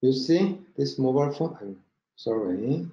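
Korean accent guy doing a slide webinar, halting english, soft mellow tone, dull roomy audio. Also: medium pitch, lively intonation. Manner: halting non-native English with frequent check-in words. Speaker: company marketing director presenting a webinar. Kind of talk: product presentation over slides. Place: indoor room, online webinar with screen share.

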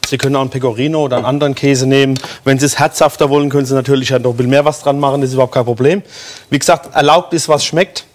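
German chef explaining a dish, filler-heavy. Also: male voice, mid-range voice, steady tone, very fast. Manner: explains steps plainly with many fillers. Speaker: guest chef. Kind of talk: cooking demonstration chat. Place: bright TV studio kitchen.

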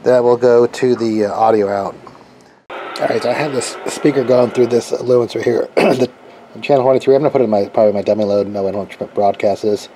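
A man's voice: medium pitch, fairly quick, low-quality recording. An American man giving a casual, rambling hands-on demonstration, tentative and informal as he thinks aloud. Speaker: hobbyist video maker demonstrating a radio setup. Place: open garage workbench. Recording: steady ambient noise, home garage camera audio.

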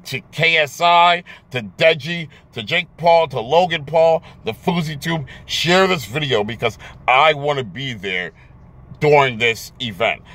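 A man's voice: on the high side, pushed and bright. An American man in his thirties talking casually to camera as a vlogger in a car, emphatic and often repeating words as he searches for the next one. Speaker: YouTube vlogger. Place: inside a car in daylight. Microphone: phone or camera at arm's length.

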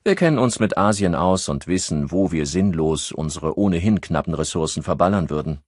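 A man voicing a smug federal police officer who brushes off requests in a brisk, bureaucratic tone. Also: low voice, animated, a little mellow, dry recording, studio quality. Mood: dismissive.

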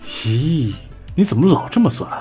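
An actor in a Chinese audio drama playing a sharp-tongued entertainment boss, blunt, scolding and colloquial. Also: male voice, on the high side, very animated, normal speed, soft background music. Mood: exasperated.

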